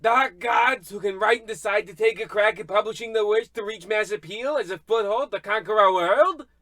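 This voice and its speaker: Pompous, sputtering book reviewer, male actor: scornful, high and sharp, radio comedy play. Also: normal speed. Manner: haughty, indignant, sputtering. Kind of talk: old radio comedy acting.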